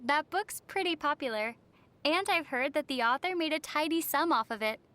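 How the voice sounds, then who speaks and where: A woman voicing a young female adventurer in a video game, gentle and lightly teasing in manner. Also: sits high, animated, normal speed. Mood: curious. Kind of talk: video game character acting.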